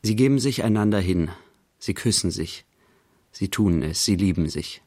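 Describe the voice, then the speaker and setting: The narrator of a literary radio drama. His voice is fairly low and matter-of-fact, laying out the story in short clipped phrases. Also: lively intonation, normal speed, dark tone. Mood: wry, observational. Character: dry male narrator observing an artist's life.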